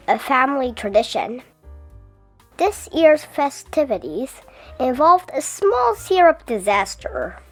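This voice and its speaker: Slow, higher-voiced woman reading a storybook. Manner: dramatic, with emphatic pauses. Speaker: picture book reader. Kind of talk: expressive children's storybook narration. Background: music.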